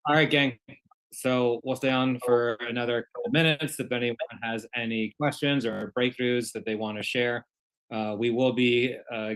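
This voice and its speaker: Male host, mid-pitched, encouraging and informal, leading a live online group session. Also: a bit bright, low-quality recording. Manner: encouraging, addresses group as gang. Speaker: host of an online community gathering. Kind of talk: casual live hosting on a group video call. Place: online video call.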